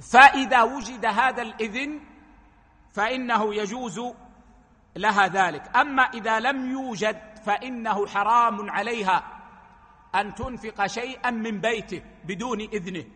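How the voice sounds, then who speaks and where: Man preaching, high voice, bright tone, methodical explanation, hall echo. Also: moderate pace, slightly rough. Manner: explains rulings step by step, quoting hadith. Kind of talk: religious lesson.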